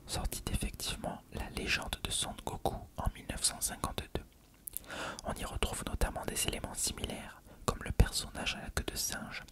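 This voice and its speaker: Whispered ASMR reading voice. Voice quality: breathy, unvoiced whisper. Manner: reading aloud. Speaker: ASMR reader.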